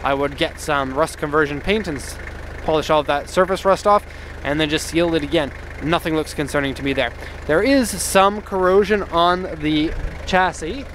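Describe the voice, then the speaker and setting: A man's voice: fairly high pitch, moderate pace, fairly bright tone. Upbeat man on an outdoor walkaround. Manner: upbeat and enthusiastic, with laughs. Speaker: car exporter reviewing a vehicle. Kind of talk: casual walkaround commentary. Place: outdoors in a park by a parked truck. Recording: outdoor noise behind voice.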